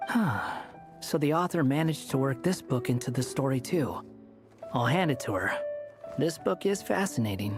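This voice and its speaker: A man's voice: mid-range voice, animated, normal speed, lightly acted. A man playing a brooding, guarded young wanderer in a video game, dry and matter-of-fact. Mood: dryly impressed. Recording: game audio with music underneath. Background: game music.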